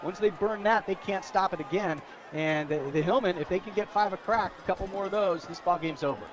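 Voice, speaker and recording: A man's voice: high voice, a little fast, crowd noise, noisy background. A man with an American accent giving live football color commentary in a conversational, folksy way. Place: outdoor football stadium broadcast.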